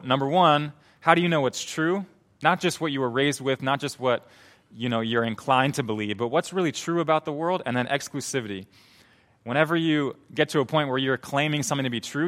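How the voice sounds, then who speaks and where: An earnest young man delivering a sermon as a pastor. His voice sits at an ordinary male pitch, and he talks in a relaxed, conversational way, often restarting his sentences.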